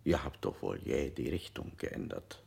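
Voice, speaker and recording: An actor playing an interrogator who coaxes and questions insistently. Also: male voice, extremely low pitch, animated, unhurried, vocal fry, a little mellow, exaggerated voice. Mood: probing. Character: male interrogator, coaxing yet probing. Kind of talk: modernist radio play acting.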